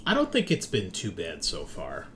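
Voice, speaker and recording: A man's voice: low-pitched, huge pitch swings, normal speed. A man chatting easily and casually as he hosts and introduces a tabletop game show. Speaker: podcast host and game master. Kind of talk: casual podcast intro chat. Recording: noticeable background noise.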